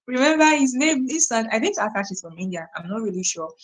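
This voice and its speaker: A woman's voice: fairly high pitch, very animated, fast. A young Nigerian woman with a Nigerian accent hosting a casual livestream, chatting to viewers with lots of fillers, restarts and repeated phrases. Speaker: livestream host. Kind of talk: casual live stream chat reading viewer comments.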